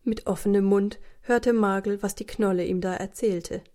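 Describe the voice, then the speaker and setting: Female storyteller for children's radio play. Warm, mellow, medium pitch, scripted narration. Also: steady tone, moderate pace.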